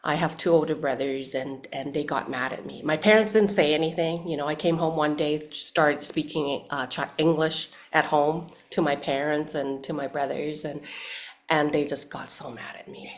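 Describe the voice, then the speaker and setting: Low-voiced woman. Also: fairly even intonation, moderate pace. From Hong Kong. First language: Cantonese. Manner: soft-spoken, thoughtful, many restarts and fillers. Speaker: actress guest. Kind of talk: casual interview chat.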